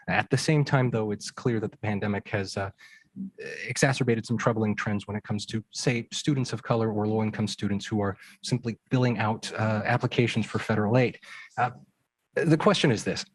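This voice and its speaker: Husky-voiced male moderator. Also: on the low side, no room sound, rough recording. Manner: measured, formal questioning. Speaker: panel moderator. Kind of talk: moderating a panel discussion.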